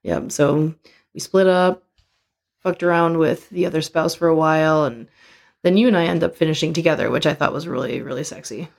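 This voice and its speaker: A young adult woman co-hosting a podcast, chatting frankly and laughing often, her voice hoarse from a tiring weekend. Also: deep voice, brisk, darkish tone. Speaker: podcast co-host. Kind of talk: casual chat.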